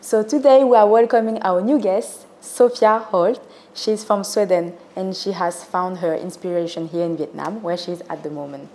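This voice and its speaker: A young woman with a French accent hosting a casual interview, speaking slowly and laughing often. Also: mid-range voice, a little mellow. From France. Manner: slow and giggly, laughs often. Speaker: host.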